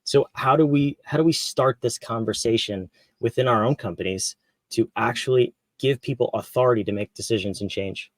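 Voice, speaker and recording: A man hosting an interview, asking questions in a relaxed, curious way with frequent restarts. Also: medium pitch, moderate pace, no room sound. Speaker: podcast host. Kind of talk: conversational interview.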